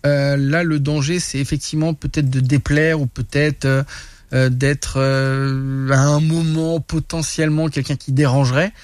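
A man from France, a guest telling war stories in a casual studio interview, animated and full of restarts. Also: a little high, measured pace, no room sound. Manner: animated, many restarts and laughs. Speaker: guest, reporter and influencer. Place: radio studio.

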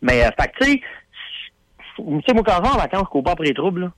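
A man's voice: high-pitched, fast talker, no echo, low-quality recording. A man with a Quebec French accent chatting casually on a radio show, telling stories in folksy slang with lots of fillers. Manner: animated storyteller, folksy slang, many fillers.

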